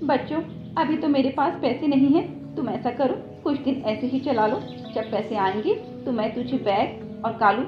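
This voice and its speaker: Woman as a high-pitched, earnest mother bird. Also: moderate pace, natural voice. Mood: pleading. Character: worried, hardworking widowed mother bird, pleading and polite. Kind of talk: Hindi moral cartoon voice acting. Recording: echoey, dull audio with background music.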